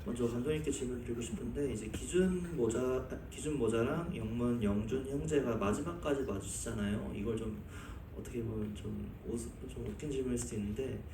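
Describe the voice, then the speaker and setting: A man's voice: medium pitch, a little fast, hardly any pauses. A man, a reporter at a press conference, politely putting his questions one by one. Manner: polite, methodical, numbered questions. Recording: echoey hall from far off, faint noise.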